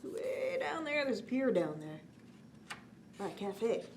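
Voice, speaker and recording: A woman with a slightly high voice hosts a story time for young children. She reads expressively and speaks to the listeners in a gentle, chatty way. The recording carries noticeable background noise. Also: sing-song, slow. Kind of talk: read-aloud bedtime story with chatty asides. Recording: clearly noisy background.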